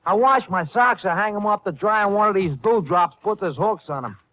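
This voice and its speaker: A man's voice: sits high, brisk, natural voice. An actor playing a young, defiant, wisecracking Brooklyn man in an old-time radio drama, mouthy and slangy. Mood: defiant, indignant. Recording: muffled vintage 1950s radio broadcast.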